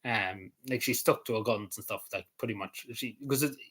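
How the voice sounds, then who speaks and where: A man's voice: lowish voice, moderate pace, fairly bright tone, breathy edge. A man rambling casually on camera, hesitant, with many fillers, restarts and small chuckles. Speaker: vlogger.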